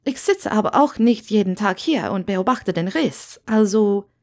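A woman's voice: mid-range voice, expressive, measured pace, darkish tone. A woman reader puts on a friendly witch for an audiobook tale. She is blunt and sure of herself, speaking frankly and matter-of-factly with a mischievous, teasing edge. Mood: breezy, teasing. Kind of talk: audiobook character dialogue.

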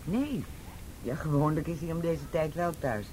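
A woman's voice: expressive, normal speed, dark tone. An actress playing a polite, unsuspecting middle-aged mother in a radio drama, chatty, hesitant and eager to help. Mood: polite, curious. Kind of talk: Dutch radio drama acting. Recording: rumbling, noisy old radio drama recording.

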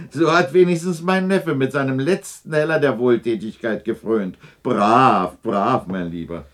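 A man's voice: mid-range voice, measured pace, fairly bright tone, lightly acted. A man playing a hard-hearted, miserly old businessman in a radio drama, curt, scornful and dismissive. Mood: mocking. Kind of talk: 1960s German radio drama acting.

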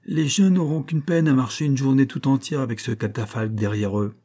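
A man's voice: medium pitch, normal speed, warm tone, close to an everyday voice. Man playing a blunt, sardonic frontier onlooker. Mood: sarcastic. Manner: sarcastic, blunt.

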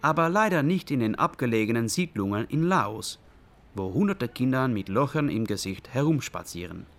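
An actor playing a grim radio play narrator, stark and deliberate, speaking in clipped phrases. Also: male voice, mid-range voice, animated, natural voice. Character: grim male narrator reciting a disease's course like tragedy.

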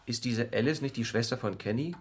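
An actor playing a rigid, indignant middle-aged father and judge in a radio drama, stiff and halting in his speech. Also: medium pitch, husky, natural voice. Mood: indignant, strained. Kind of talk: naturalistic radio drama dialogue.

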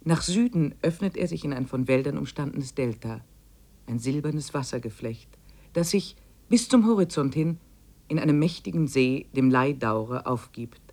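Observant wilderness diarist, measured and descriptive, literary narration for vintage radio play. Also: female voice, sits low, a little mellow. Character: observant expedition diarist recounting days in the wilderness. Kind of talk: literary first-person narration in 1950s German radio drama.

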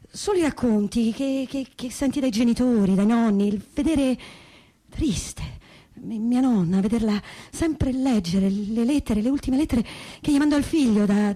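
A woman playing a sorrowful granddaughter in a stage testimony reading, speaking haltingly and repeating words as she searches for them. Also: a little high, warm tone. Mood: hesitant, searching. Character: sorrowful granddaughter of a deported family, haltingly remembering. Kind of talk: theatrical reading of personal testimony, conversational.

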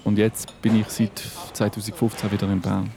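A man's voice: on the low side, little pitch movement, moderate pace, creaky. A man in casual, unscripted conversation. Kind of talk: casual chat. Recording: other voices in the background.

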